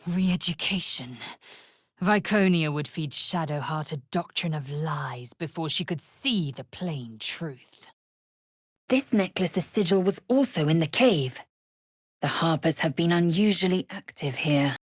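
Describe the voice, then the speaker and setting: A fierce githyanki warrior woman from a fantasy video game, with a low, husky voice. She speaks in a proud, imperious way, clipping her formal phrases and measuring them out slowly. The sound is close and dry. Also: animated, dry recording, full character voice. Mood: stern, scornful. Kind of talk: video game character acting.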